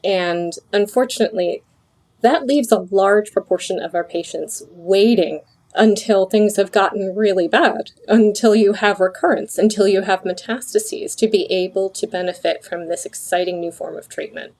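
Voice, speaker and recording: A woman's voice: mid-range voice, normal speed, darkish tone. A woman speaking as an expert guest in an interview, explaining in articulate, formal phrases. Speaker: medical oncologist, podcast guest.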